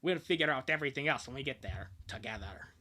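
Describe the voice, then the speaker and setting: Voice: mid-range voice, animated, fast talker, bright, forceful tone, a little hiss or hum. A streamer reading the part of an earnest, sentimental, lovestruck cartoon youth confessing devotion. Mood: earnest, tender.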